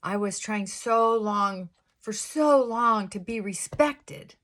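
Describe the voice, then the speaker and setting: A middle-aged woman chats slowly and thoughtfully while getting ready in the morning. Her fairly high voice is held down on purpose, and she drops into soft whispered asides. She speaks in a confessional, reflective way. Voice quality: fairly high-pitched voice she works to pull down. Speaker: vlogger. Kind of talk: casual get-ready-with-me chat.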